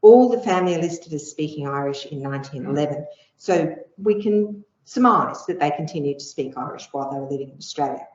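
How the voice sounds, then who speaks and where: A middle-aged woman giving an academic lecture with slides, measured and explanatory, pausing on ums. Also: sits low, lively intonation, darkish tone. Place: lecture room. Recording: echoey room, dull lo-fi sound.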